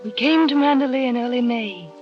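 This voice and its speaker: An actress playing a timid young new wife who narrates and speaks hesitantly, with small stammers, in a 1930s radio drama. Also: female voice, fairly high pitch, brisk, natural voice. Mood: narrating. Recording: dull 1930s radio broadcast with music.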